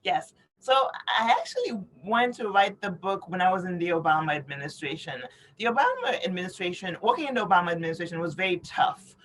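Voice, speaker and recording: A woman's voice: fairly low pitch, animated, brisk, slight background noise. Woman explaining earnestly in an interview. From born in Haiti, raised in New York City. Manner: earnest, explanatory, frequently checks with "you know" and "right?". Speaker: interview guest, author and advocate. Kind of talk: interview answers.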